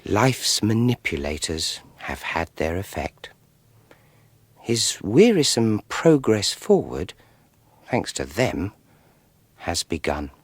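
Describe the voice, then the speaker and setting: An actor playing a solemn, disdainful scientist regressed to boyhood in a radio drama, musing aloud in a halting, brooding manner. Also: male voice, lowish voice, very animated, husky, dry recording, full character voice. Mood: bitter, resigned.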